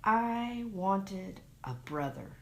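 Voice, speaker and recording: A woman giving a dramatic reading of a literary short story, expressive and measured, recorded on a lavalier mic. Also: mid-range voice. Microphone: lavalier microphone into a phone.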